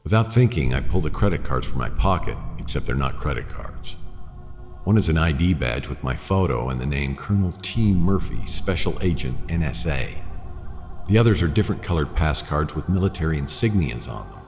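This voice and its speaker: A hard-boiled detective narrating a suspenseful radio drama in the first person. His voice is extremely deep and heavy with gravelly fry. The delivery is measured and brooding, ringing in a long reverb over a music bed. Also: expressive, a little mellow. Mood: tense, detached.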